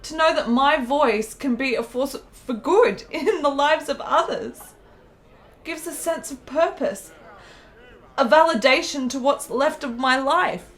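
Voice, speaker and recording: A woman's voice: sits high, measured pace. An actress playing a frail, lonely, intense sick woman in a period radio play, with earnest, emotional, formal phrasing. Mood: earnest, grateful.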